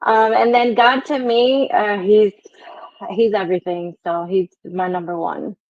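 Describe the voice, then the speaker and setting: A woman's voice: medium pitch, steady tone, a little slow, dry recording. Young brooklyn woman, new york accent w a bit of spanish, chatty, lots of likes and ums, dull dry call audio. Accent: New York with Spanish touch. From Brooklyn, New York, Dominican descent. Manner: chatty, laughs easily, many fillers. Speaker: guest. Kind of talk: casual storytelling interview.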